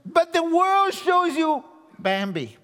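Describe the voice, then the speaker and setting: A man with an Argentine accent preaches in a lively, sing-song voice that sits high for a man. His sermon runs through funny stories, and he drops his voice low and quiet for each punchline. The sound carries the long reverb of a church hall. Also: slow pace. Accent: Argentine Spanish. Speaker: guest preacher.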